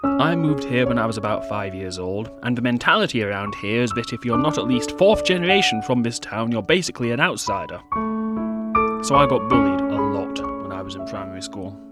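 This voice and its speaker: Audio drama narrator: male actor as wry bullied teen boy, confiding and loyal, medium pitch, loud piano bed. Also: animated, brisk, natural voice. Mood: confiding, reflective. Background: piano music.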